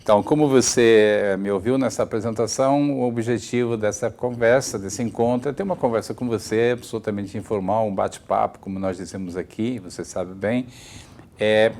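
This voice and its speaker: A man's voice: fairly low pitch, a little mellow, a little hiss or hum. A man interviewing a guest, introducing him formally and hesitating often with fillers.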